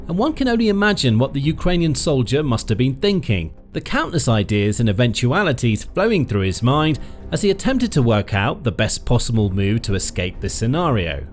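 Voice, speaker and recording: A man reading a scripted military news narration with dramatic, emphatic pauses. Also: medium pitch, big pitch swings. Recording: faint music bed behind the voice.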